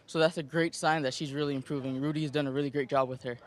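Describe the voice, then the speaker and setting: A man with a Jamaican accent, a jockey giving a relaxed, joking post-race interview in a soft voice. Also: fairly high pitch, quick pace. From Jamaica and Florida.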